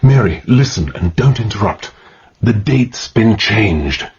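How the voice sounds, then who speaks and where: A gentleman adventurer in a dramatized thriller. His husky, fairly deep voice is grave and confiding, with a tense edge suited to dangerous undercover work. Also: animated, slow pace, muffled recording, full character voice. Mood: urgent. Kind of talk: dramatized first-person narration.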